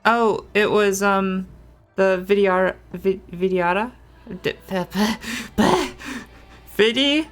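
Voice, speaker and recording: A woman's voice: mid-range voice, extremely slow, faint music behind. A woman game streamer giving casual playthrough commentary, thinking aloud in a hesitant, rambling, self-correcting way.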